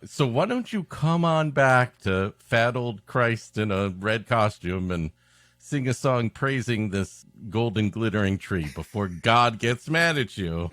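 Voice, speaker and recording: A man's voice: medium pitch, animated, unhurried, fairly bright tone, dry recording, clean studio sound. A man co-hosting a comedy podcast, riffing in a sarcastic, mock-exasperated way. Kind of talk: joking riffing on a story being read.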